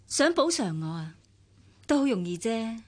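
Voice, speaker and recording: An actress playing a wounded, bitter office secretary in a radio drama, with a Hong Kong Cantonese accent. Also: female voice, a little high, sing-song, a little slow, in a large hall. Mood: hurt.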